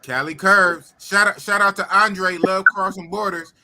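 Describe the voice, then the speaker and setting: A man's voice: sits high, steady tone, a little slow. A man hosting a casual live stream in a slangy way, repeating phrases for emphasis. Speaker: podcast host. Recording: clicky, clearly noisy stream audio.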